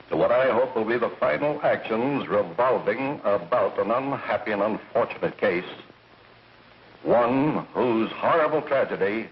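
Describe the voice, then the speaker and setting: An American man acting a stern, solemn courtroom judge in a 1930s film, speaking in formal, measured oratory. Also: fairly low pitch, lightly acted. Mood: grave. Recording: muffled, noisy 1930s film soundtrack.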